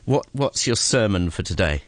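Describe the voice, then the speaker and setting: A man hosting a morning radio show, upbeat and quick with short interjections. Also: fairly low pitch, lively intonation, no room sound. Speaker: radio host. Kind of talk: radio host banter. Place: radio studio.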